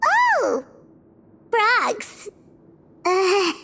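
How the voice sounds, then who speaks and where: A woman voicing a small, chirpy, curious childlike companion in a video game, with a squeaky, excitable delivery. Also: very slow, exaggerated voice.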